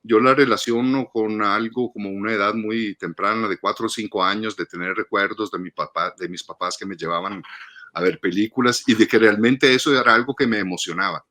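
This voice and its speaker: A man's voice: on the low side, fairly quick, sharp tone. A man in his fifties from northern Italy, speaking reflectively in a casual interview and often repeating words as he thinks. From Padua region, northern Italy. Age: 50s. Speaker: guest, film critic and teacher.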